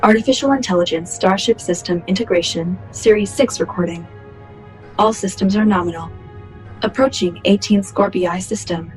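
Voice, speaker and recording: Casting: actress as ship's AI for sci-fi radio, precise, emotionless, measured, with faint music behind. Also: female voice, mid-range voice, close to an everyday voice, low-quality recording. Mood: impassive. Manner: formal, measured recitation. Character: starship's artificial intelligence reciting its log, precise and impassive. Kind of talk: scripted sci-fi radio play.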